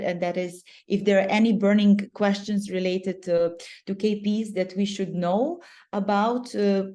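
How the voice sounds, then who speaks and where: A woman with a Serbian accent giving a webinar presentation over a video call, unhurried and often pausing mid-sentence to find her words. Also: on the low side, a little mellow.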